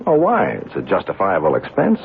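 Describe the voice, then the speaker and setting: A man's voice: sits low, creaky, heavily acted. An actor playing a brash, skeptical American newspaperman in a radio drama, with a wry, colloquial manner. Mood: probing, hesitant. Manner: colloquial, hesitant questioning, wry. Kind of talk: old-time radio drama acting. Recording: tinny band-limited old radio recording, faint noise.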